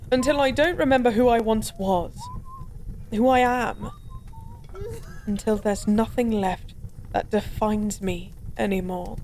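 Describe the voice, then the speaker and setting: A woman's voice: a little high, a little mellow, slight background noise. A woman playing an ancient fallen goddess, drunk, weary and resigned, in an intimate, melancholy audio roleplay monologue. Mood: wistful despair. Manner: slow, brooding, melancholy drunken rambling.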